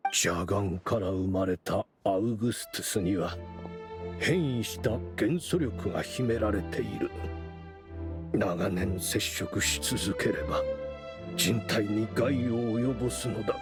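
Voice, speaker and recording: A man playing a weary, solemn older mentor in a Japanese game, speaking gravely and deliberately, with music clearly behind him. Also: lowish voice.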